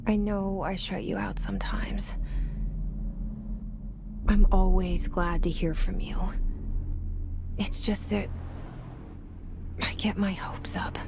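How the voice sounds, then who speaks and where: A woman's voice: medium pitch, big pitch swings. A woman voicing a guarded, brooding young woman's inner thoughts in a video game, half-whispered and confiding. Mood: confiding, wistful. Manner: slow, hushed, confiding. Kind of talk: introspective video game voice-over narration. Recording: music under the voice. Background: loud background music.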